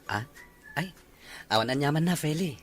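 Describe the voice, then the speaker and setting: A man's voice: fairly high pitch, animated, normal speed, faint music behind. A man playing an earnest, polite young suitor in an audio drama. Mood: courting. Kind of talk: Ilocano audio drama acting.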